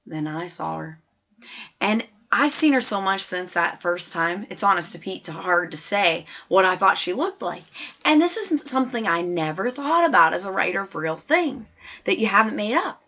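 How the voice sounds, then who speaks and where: A woman's voice: mid-range voice, big pitch swings, moderate pace, bright, forceful tone, heavily acted. A woman voicing a plain-spoken, observant fourth-grade girl who tells her own story in a chatty, folksy, emphatic way. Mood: wondering, earnest. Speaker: audiobook narrator. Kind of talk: scripted first-person story narration. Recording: slightly dull, lo-fi audio.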